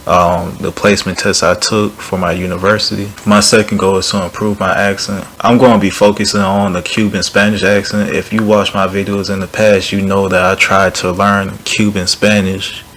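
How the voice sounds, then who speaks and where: A young man with a low, deep voice speaks in an even, fairly flat tone as he vlogs to his channel. His delivery is relaxed and rambling, full of restarts and fillers. A faint hiss of room noise sits behind him. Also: normal speed, hardly any pauses. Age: young adult. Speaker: language learning YouTuber. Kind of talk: casual vlog talk to camera.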